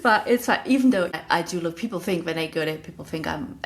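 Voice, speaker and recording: A woman's voice: lowish voice, animated, quick pace, a little hiss or hum. A woman with a German accent hosting a casual solo talk, rambling and correcting herself as she goes, often laughing at herself. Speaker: podcast host.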